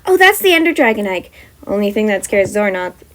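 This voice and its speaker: A woman voicing a cheerful, friendly young female mage in a bright, sing-song manner for an audio story. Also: medium pitch, big pitch swings, a little fast.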